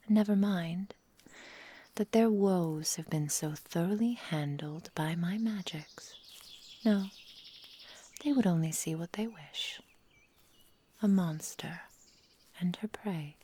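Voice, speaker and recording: An adoring, possessive forest witch, acted by a woman, speaks in a soft, breathy near-whisper. Her delivery is slow and intimate, on the low side and velvety dark. She uses formal storybook phrasing full of tender endearments, in the style of an ASMR roleplay. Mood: bitter, hurt.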